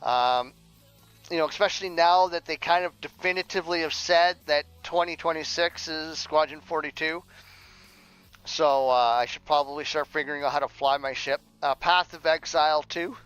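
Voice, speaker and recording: High-pitched, rambling man on a livestream. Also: moderate pace, a bit bright, smooth. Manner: rambling, repeats words mid-sentence. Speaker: streamer and audio drama writer. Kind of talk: casual livestream chat. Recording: dull lo-fi sound, music behind. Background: music playing.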